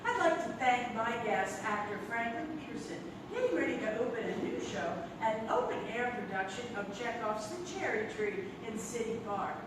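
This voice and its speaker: Gushing female talk host, acted by a woman: slightly high, encouraging, radio-play style, distant in a roomy theatre. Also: expressive, moderate pace, few pauses, close to an everyday voice. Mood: delighted, chirpy. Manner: effusive, encouraging. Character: gushing, chirpy female local radio interviewer. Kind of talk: radio interview acting. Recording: echoey, noisy theatre recording from far off.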